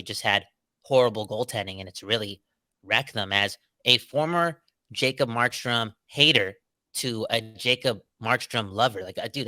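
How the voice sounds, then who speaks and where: A man's voice: medium pitch, bright, forceful tone, dry recording. A man co-hosting a sports podcast, talking in a casual, emphatic, slangy way with lots of "right?" and "bro". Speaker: podcast co-host.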